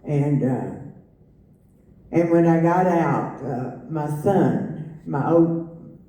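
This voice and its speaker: A middle-aged woman giving a personal testimony, halting with many fillers and restarts. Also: low voice, mellow. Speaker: testimony speaker. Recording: echoey room, voice from far off.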